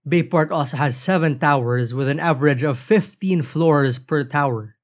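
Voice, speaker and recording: A man's voice: fairly high pitch, normal speed. A man with a Filipino accent narrating a news podcast from a script, with conversational asides. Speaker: podcast host and reporter.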